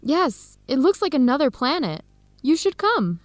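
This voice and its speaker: Friendly, higher-voiced young American researcher. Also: female voice, sing-song, a little slow, dry recording. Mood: upbeat, chatty. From United States. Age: young adult. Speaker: radio drama actor. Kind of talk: scripted English-learning radio drama.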